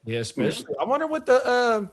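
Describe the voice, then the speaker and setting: High-voiced man telling slangy stories. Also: fast. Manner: animated storytelling with slang and affirmations. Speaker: podcast co-host. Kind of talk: casual podcast chat.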